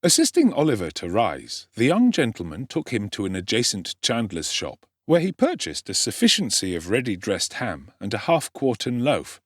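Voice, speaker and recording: A man with an English accent narrates classic fiction in a lowish voice. His delivery is measured and articulate yet richly varied in pitch. The recording is close and dry. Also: wildly swooping pitch, no room sound. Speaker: audiobook narrator, actor. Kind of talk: scripted literary narration.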